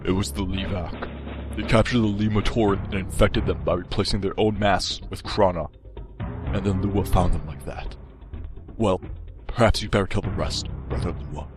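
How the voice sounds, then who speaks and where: A man voices a wise fantasy warrior with a deep voice and an unruffled, brotherly manner. He performs in an audio drama, with music clearly behind him. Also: moderate pace, close to an everyday voice. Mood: steady. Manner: relaxed, reassuring. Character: calm, wise, brotherly male warrior of the earth. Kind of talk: amateur full-cast audiobook drama acting. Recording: loud music bed under the voice.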